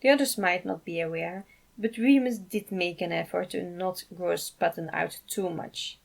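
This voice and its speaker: A woman narrating a piece of fan fiction in an expressive storytelling style. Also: fairly low pitch, normal speed, gravelly.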